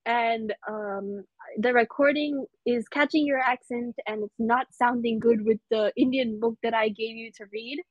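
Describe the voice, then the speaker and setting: A woman's voice: a little high, fairly even intonation, unhurried, no room sound, highs cut off. A young woman with an American accent, a podcast guest chatting casually with lots of fillers and easy laughs. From United States. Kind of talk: casual interview chat.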